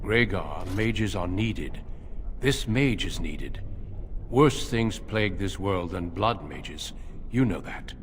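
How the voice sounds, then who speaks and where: An actor playing a calm, resolute recruiter for a warrior order in a fantasy game, formal and measured. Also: male voice, low voice, slight background noise. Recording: reverberant game dialogue with music.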